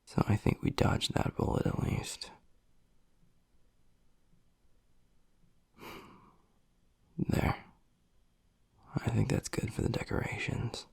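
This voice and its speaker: A man playing a gruff young werewolf pack alpha and protective, secretly tender boyfriend, murmuring bluntly in a husky voice in an intimate audio roleplay. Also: unhurried, dry recording, close to an everyday voice. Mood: dry, matter-of-fact. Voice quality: husky, gravelly, breathy low voice.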